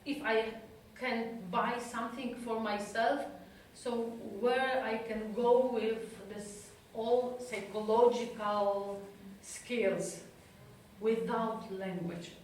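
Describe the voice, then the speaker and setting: Slow, halting woman in an echoey room. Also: fairly high pitch, fairly even intonation, a little hiss or hum. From Europe. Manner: halting, searching for words in a second language. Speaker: psychotherapist giving a talk. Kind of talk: personal talk to an audience. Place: talk room at an institute. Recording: echoey room from a distant mic.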